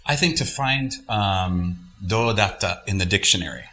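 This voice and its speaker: A man's voice: fairly low pitch, a little slow, pushed and bright. A man co-hosting a casual language-lesson podcast, reading listener letters aloud with small stumbles.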